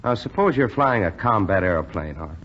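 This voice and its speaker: An actor playing a stern, unyielding lieutenant flight instructor, clipped and authoritative, in a 1940s radio drama. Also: male voice, deep voice, animated, moderate pace. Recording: dull 1940s radio recording, faint noise.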